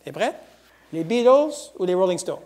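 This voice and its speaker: A woman hosting an interview show, firing off quick either-or questions in a brisk, playful way. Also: on the low side, sing-song, a little mellow. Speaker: host.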